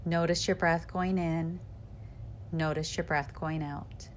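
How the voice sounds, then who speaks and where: A woman guiding a yoga nidra meditation in a calm, measured, instructional way, with short cues and pauses. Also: low-pitched, a little hiss or hum.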